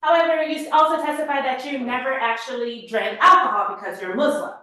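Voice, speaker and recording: A woman's voice: sits high, brisk, sharp tone. A woman prosecutor cross-examining a witness in court with pointed, leading questions. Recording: very echoey courtroom audio.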